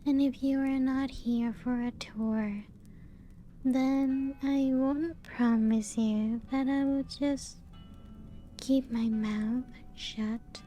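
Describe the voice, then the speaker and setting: A woman playing a playful, teasing vampire who guides guests round her ancient castle in soft-spoken ASMR roleplay, hushed and often slipping into whispers. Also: high-pitched, fairly even intonation, extremely slow. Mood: teasing, veiled menace. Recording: music playing behind the voice. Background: background music.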